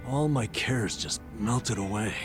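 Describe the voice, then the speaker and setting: A man's voice: lowish voice, unhurried, music in the background, natural voice. An actor playing a stressed, boastful middle-aged vagabond in a video game cutscene, telling his tales dramatically and colloquially. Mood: dreamy, boastful.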